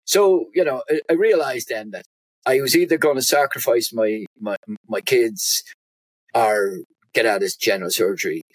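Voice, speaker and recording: A man's voice: mid-range voice, husky, no room sound, low-quality recording. An older man with a Dublin accent, a doctor telling his story as a guest in an interview, stopping and restarting often. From Ireland. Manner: halting, with frequent restarts and repeated words.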